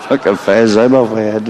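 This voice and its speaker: A man's voice: on the low side, noisy background. A man giving a rambling live stage monologue, swearing freely, mocking himself and stopping to apologize for his slip-ups. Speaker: stand-up storyteller.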